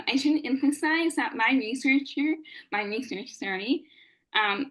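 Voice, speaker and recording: A young woman with a fairly high voice, giving an earnest prepared lecture at a historical society meeting. She pauses often with "um" and corrects herself mid-sentence. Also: bright, forceful tone, low-quality recording.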